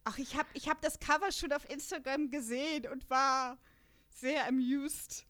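A woman's voice: high-pitched, a little slow. Chatty, bubbly woman host. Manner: lively and chatty, laughs often. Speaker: podcast host. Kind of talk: casual chat.

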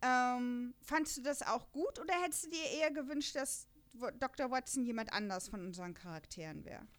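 A woman's voice: on the high side, a little fast, a bit bright. A chatty, enthusiastic woman co-hosting a podcast, talking casually about an audio drama and often breaking into laughter. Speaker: podcast co-host.

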